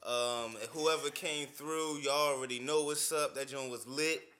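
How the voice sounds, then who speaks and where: A young man co-hosting a casual chat, slangy and full of hype shout-outs. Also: mid-range voice, steady tone, unhurried. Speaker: podcast co-host.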